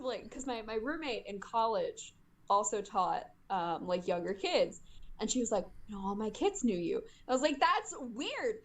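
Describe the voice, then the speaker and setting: A young adult woman chatting casually as an interview guest. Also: a little high. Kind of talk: casual chat.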